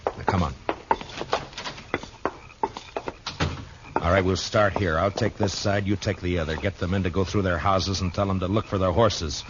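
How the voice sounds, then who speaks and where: Deep-voiced grim American western marshal, played by a man. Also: fairly even intonation, brisk, heavy background noise, natural voice. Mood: urgent, commanding. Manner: laconic, authoritative. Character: grim, steady US marshal in the Old West. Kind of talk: 1950s radio western acting and narration. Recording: dull vintage 1950s radio recording with music.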